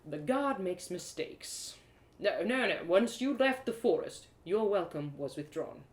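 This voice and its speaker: A woman's voice: on the low side, animated, a little slow. A woman voicing a self-righteous male elf guard in a storybook, with formal, mocking speech. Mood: officious. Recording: roomy echo.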